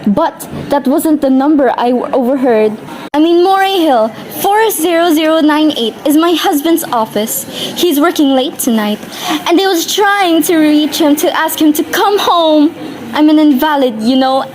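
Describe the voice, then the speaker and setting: An actress in a radio play, playing an anxious, demanding invalid wife who is easily exasperated and insistent. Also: female voice, sits high, lively intonation, normal speed, darkish tone, slight background noise, poor audio. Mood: insistent, fretful. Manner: agitated, insistent, indignant. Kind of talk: old-time radio play acting.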